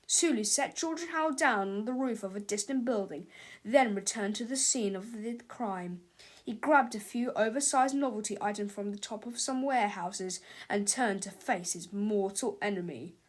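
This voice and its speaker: A woman narrating a children's book as an expressive read-aloud. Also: mid-range voice, normal speed. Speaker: storybook narrator.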